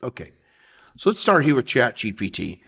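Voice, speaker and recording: A man's voice: medium pitch, sing-song, moderate pace, vocal fry, fairly bright tone, breathy edge. Man, casual tutorial, slightly dull sound. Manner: informal, explanatory, checks in with "okay" and "right". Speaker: tutorial presenter. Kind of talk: casual screen-share software tutorial.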